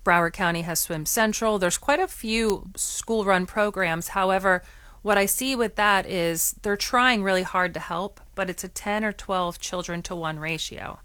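A woman's voice: mid-range voice, moderate pace, fairly bright tone, no echo. A woman on a radio talk show, explaining things earnestly and restarting her sentences often. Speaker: guest from a swim lesson nonprofit. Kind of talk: radio call-in discussion.

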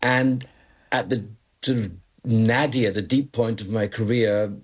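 A man's voice: mid-range voice, steady tone, a little slow. A man in his sixties born in London, a journalist guest talking in a rambling, self-deprecating way with many restarts. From born in London, raised in Malaysia. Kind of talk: radio interview guest. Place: remote, joining from afar.

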